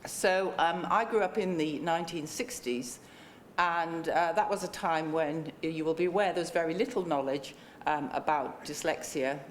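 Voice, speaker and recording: A woman in her sixties chairs a book launch and gives measured, polite welcoming remarks with frequent ums. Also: low voice, a little hiss or hum. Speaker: panel chair and book co-author. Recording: echoey lecture room.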